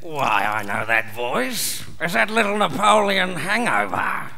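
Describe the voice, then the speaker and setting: A camp, lecherous old bishop in a live comic radio play. The voice is high for a man and piercingly bright, with a slow theatrical drawl and a gravelly edge. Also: big pitch swings, full character voice. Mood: oily, delighted.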